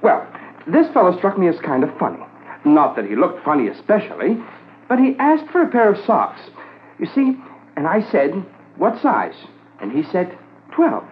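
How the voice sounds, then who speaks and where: Folksy small-town shopkeeper, wordy and apologetic, animated pitch, thin vintage radio sound. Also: male voice, normal speed. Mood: earnest, hesitant. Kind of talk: 1950s radio drama acting. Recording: lo-fi 1950s radio recording, faint noise.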